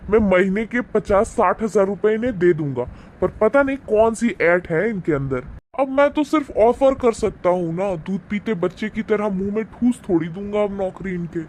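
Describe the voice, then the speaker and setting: A man's voice: high-pitched, darkish tone. Man acting a smug, sneering rich son-in-law. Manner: patronising, sneering. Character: smug, boastful, mocking wealthy son-in-law. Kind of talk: animated moral story dialogue.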